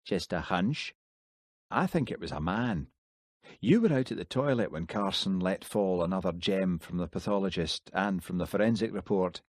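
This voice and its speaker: A man doing a calm, shrewd village constable. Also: sits low, normal speed, dry recording, studio quality. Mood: measured.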